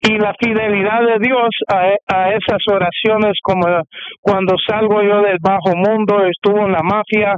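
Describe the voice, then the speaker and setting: A man from the United States giving his testimony as an interview guest, talking haltingly with many fillers and restarts. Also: sits high, level tone, smooth, no echo. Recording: dull muffled radio sound.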